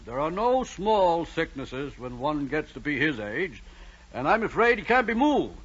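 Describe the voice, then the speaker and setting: An actor playing a brisk, fussy, bossy male doctor in an old-time radio drama. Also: on the high side, big pitch swings, a bit bright, husky, full character voice. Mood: businesslike. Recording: dull, lo-fi old radio recording.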